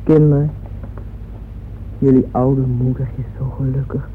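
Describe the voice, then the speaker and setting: A woman's voice: very deep voice, level tone, unhurried, slight background noise. An actress playing an ageing, devoted and wistful wealthy mother in a radio play, speaking emotively and intimately. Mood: wistful, confiding. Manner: emotive, intimate, with hushed asides. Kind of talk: dramatic radio play monologue. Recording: muffled phone-like old radio recording, music behind.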